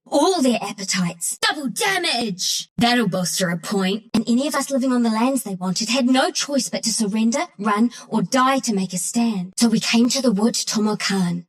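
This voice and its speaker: A woman's voice: fairly high pitch, husky. A woman voicing a cheeky, scrappy young squirrel-like bandit heroine in a video game, with a brash, playful, slangy way of talking. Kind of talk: video game character voice lines.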